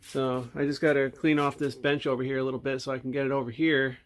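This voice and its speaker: A man with a medium-pitched voice hosts a casual repair demonstration. He talks in a low-key, unscripted way, thinking aloud as he works at an indoor workbench, picked up by a camera microphone. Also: flat delivery, quick pace, slight background noise. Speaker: hobbyist host showing a radio repair. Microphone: handheld or tripod camera mic.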